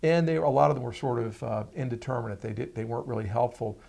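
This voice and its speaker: Gravelly-voiced man explaining as an expert guest. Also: medium pitch, fast talker, a little mellow. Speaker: radiologist, interview guest. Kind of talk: explanatory medical interview.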